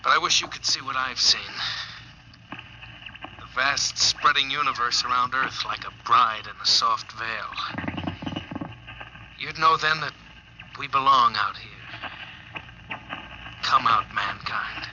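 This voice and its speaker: An actor playing a stranded, dying astronaut in a 1950s radio drama, weary and wistful, short of breath, with a halting, poetic delivery. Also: male voice, medium pitch, lightly acted. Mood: wistful, awed. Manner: slow, breathy, halting, solemn monologue. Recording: noisy old 1950s radio recording.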